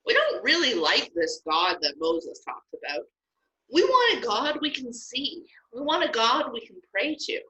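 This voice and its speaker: Woman playing a cranky crowd, whiny and high, bright. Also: very animated, a little slow, muffled recording, heavily acted. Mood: grumbling. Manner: complaining. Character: the people, cranky grumbling crowd.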